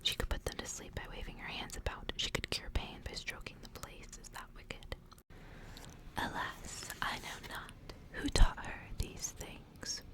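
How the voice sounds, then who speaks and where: A woman voicing a frail, frightened young girl condemned as a witch, in a faint, breathy, pleading whisper, for a storybook reading. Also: a little slow, close to an everyday voice. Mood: weary, faint. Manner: murmuring, pleading.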